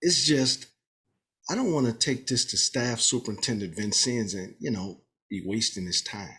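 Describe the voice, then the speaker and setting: A man's voice: medium pitch, moderate pace, pushed and bright, close to an everyday voice. A man playing an eager, jittery police inspector from Detroit in a comedy table read, chummy and seeking reassurance. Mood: chummy, persuasive.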